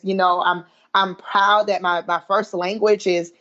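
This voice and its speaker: A woman's voice: medium pitch, little pitch movement, a little slow, pushed and bright. Southern woman with a muffled sound. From American South. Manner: chatty, many fillers, proud. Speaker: guest, body image coach. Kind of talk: remote podcast interview.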